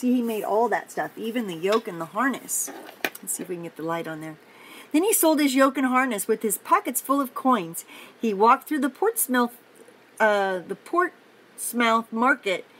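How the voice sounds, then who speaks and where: An older woman reading a picture book aloud to children, pausing for simple, encouraging chatty asides about the pictures. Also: fairly high pitch, animated, a bit bright, slight background noise, low-quality recording. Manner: encouraging, explains pictures simply to young listeners.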